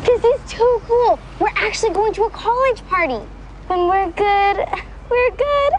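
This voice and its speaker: Wisecracking preteen girl, very high voice, scheming, TV sitcom, background noise. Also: unhurried, dull audio. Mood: gleeful. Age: young girl, preteen. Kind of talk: sitcom acting with live audience. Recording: noisy TV sitcom with studio audience.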